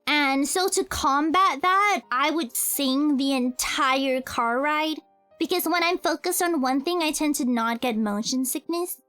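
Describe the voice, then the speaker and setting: A young woman with a very high voice chats on a livestream, rambling and giggling as she answers viewer questions. She often corrects herself mid-sentence. Soft music plays faintly behind her. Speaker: streamer and cover singer. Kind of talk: casual livestream Q&A chat.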